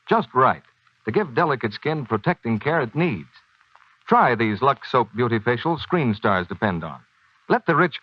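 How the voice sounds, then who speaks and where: A man's voice: medium pitch, no echo. A man announcing on 1940s radio in a polished, persuasive scripted sales style. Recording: dull, dry 1940s radio broadcast.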